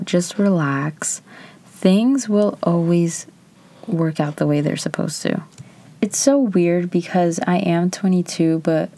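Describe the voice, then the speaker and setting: Low-voiced chatty woman in her twenties. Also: dark tone. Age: 20s. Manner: chatty, candid, frequent "like" fillers. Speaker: vlogger. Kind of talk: casual personal reflection.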